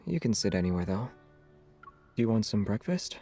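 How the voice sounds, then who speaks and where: A calm, secretive young hunter from a story game, with a deep, mellow voice. He is reserved and nonchalant, taking his time over soft music. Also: normal speed. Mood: casual, mild. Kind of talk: scripted game dialogue.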